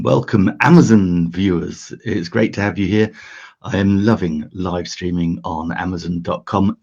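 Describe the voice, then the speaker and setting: A man from the UK hosting a casual how-to live stream, his voice croaky this early, chatty with lots of fillers and restarts. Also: low-pitched, darkish tone, dull audio. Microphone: desk USB microphone.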